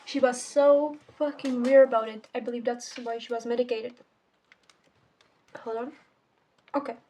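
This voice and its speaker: A woman's voice: fairly high pitch, flat delivery, normal speed, warm tone, slight background noise. A teenage girl whose first language is Czech, casually reviewing a book in a rambling, self-correcting way with casual swearing. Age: teens. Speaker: book vlogger.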